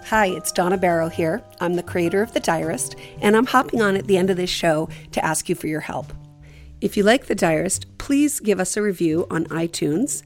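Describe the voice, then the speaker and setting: Low, creaky-voiced woman over music. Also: animated, moderate pace. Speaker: show creator. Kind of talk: scripted appeal to listeners.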